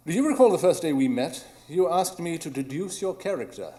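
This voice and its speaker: A man's voice: on the high side, lively intonation, fairly quick, dark tone, lightly acted. A man playing a brilliant, coolly analytical detective with a formal, precise manner in a live radio drama. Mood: coolly deductive. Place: small improv theatre stage.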